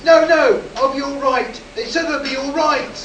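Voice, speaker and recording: An actor playing a shaken, insistent test pilot in a live sci-fi radio play, with dramatic, urgent delivery. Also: male voice, extremely high pitch, fairly even intonation, normal speed, fairly bright tone. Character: agitated, insistent rocket test pilot shaken by an alien encounter. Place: live performance in a room at a convention. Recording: roomy, distant, noisy live convention stage recording.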